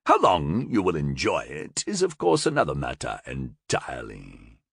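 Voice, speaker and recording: A man voicing a gurgling, fanged half-animal male monster, held prisoner and speaking in a taunting, sardonic, drawn-out way. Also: extremely low pitch, huge pitch swings, vocal fry, fairly bright tone, slightly rough, dry recording, clean studio sound, pitched below the performer's own voice, heavily acted.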